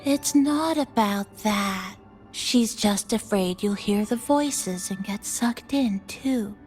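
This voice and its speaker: A woman voicing a cute, gentle, nostalgic mascot spirit in a video game, speaking softly and playfully. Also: medium pitch, slow pace, faint music behind, lightly acted. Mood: gently reassuring.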